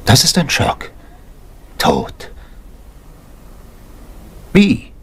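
A haughty upper-class politician in a retro radio play. His husky voice swings very widely in pitch while keeping a formal, clipped manner. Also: wildly swooping pitch, very slow, full character voice. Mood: shocked. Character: stiff, self-important upper-class English gentleman politician. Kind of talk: German retro crime radio drama.

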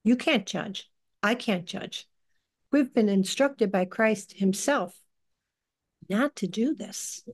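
A woman in her sixties giving informal religious teaching on a group call, speaking in an earnest, deliberate, halting way. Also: mid-range voice. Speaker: leader of a lay religious group. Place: living room, indoors. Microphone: computer on a video call.

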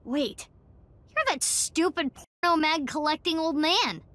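Feisty, high-pitched game girl. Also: measured pace, fairly bright tone, no room sound. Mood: impatient. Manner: blunt, exclamatory. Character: feisty, blunt, impatient teenage girl investigator. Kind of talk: dubbed anime-style video game acting. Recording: music behind the voice.

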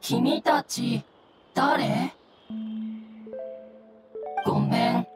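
Very slow, halting mushroom creature. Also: female voice, a little high. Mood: halting, unsure. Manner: broken one- or two-word phrases, halting. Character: simple, childlike, halting floating mushroom creature. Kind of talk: Japanese game voice acting. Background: background music.

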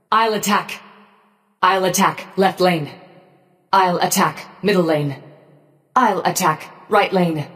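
A woman voicing a cold, menacing robotic gorgon in a video game, giving short commands in a measured, detached, machine-like way. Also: lowish voice, in a large hall. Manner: slow, measured, emotionally detached machine-like delivery. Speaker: game character voice pack.

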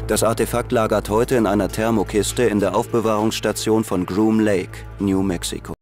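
A man voicing a sober, measured documentary narrator with a low voice, music running underneath. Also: fairly even intonation, darkish tone, lightly acted. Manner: measured, matter-of-fact. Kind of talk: scripted narration.

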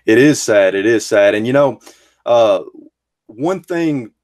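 A man's voice: medium pitch, lively intonation, slow pace, darkish tone. A man of thirty hosting a casual video-call discussion, speaking earnestly and emphatically from his game room with a headset and desk mic. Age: 30s. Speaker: host. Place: game room full of collectibles.

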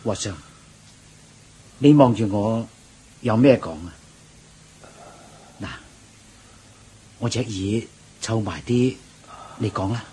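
An actor playing a patient, deferential Buddhist monk who explains things gently and in a measured way in a radio drama. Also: male voice, on the low side, slight background noise. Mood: gentle concern. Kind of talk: Cantonese radio drama acting.

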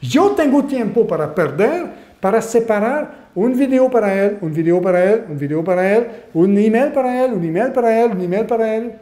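A man with a French Canadian accent, a native French speaker, giving an animated webinar presentation as an online marketing mentor and asking his audience questions. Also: high voice, moderate pace, few pauses, reverberant.

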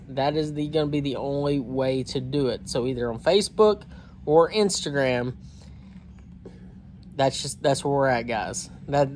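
A man's voice: on the high side, darkish tone, a little hiss or hum. Chatty man vlogging outdoors. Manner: talkative, informal, uses y'all. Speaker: fishing channel host. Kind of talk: casual direct-to-camera vlog. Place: outdoor porch of a wooden cabin. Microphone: phone camera at arm's length.

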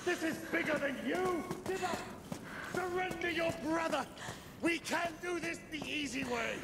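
Game villainess, fanatical hunter, slow and taunting with a gravelly edge and heavy background noise. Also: female voice, very high-pitched. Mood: insistent, threatening. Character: menacing, fanatical hunter taunting a fleeing prey. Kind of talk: dramatic video game villain acting. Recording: very loud game noise behind voice.

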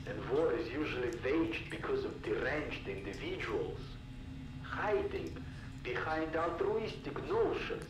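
An actor playing a disillusioned, distrustful male scientist who longs for peace, earnest and brooding, in a video game audio log. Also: mid-range voice. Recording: roomy, distant, noisy cassette tape recording.

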